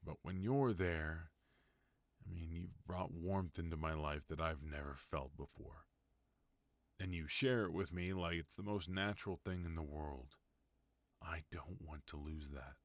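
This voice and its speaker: A tender but dangerous mafia boss husband, voiced by a man in an ASMR roleplay, speaking at an extremely low pitch. He takes his time over every word, soft and intimate, close to a dry, dead-sounding microphone. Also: no echo. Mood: tender, vulnerable. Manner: soft, intimate, unhurried delivery. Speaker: voice actor.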